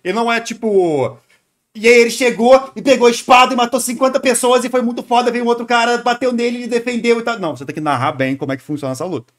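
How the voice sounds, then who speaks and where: Quick, slangy Brazilian man reacting. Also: very high voice. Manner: informal, slangy. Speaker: streamer reacting to videos. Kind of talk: casual off-the-cuff commentary.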